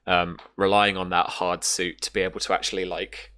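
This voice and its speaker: A man narrating a tabletop role-playing game as game master in an improvised, halting way, pausing to find his words. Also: low-pitched, level tone, sharp tone.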